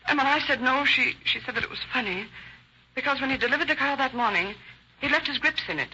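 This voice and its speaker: A woman's voice: high-pitched, lively intonation, fast, sharp tone, breathy edge, exaggerated voice. An actress playing a worried, loyal wife hesitantly giving her statement to police in an old radio drama. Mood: troubled. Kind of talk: 1940s radio acting. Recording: muffled, hissy old radio recording.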